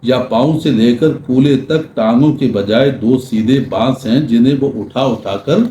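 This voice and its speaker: An older man giving a literary talk in measured, formal diction with deliberate pauses, reading prose and reciting verse. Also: mid-range voice, mellow. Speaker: lecturer. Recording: roomy echo with faint background noise.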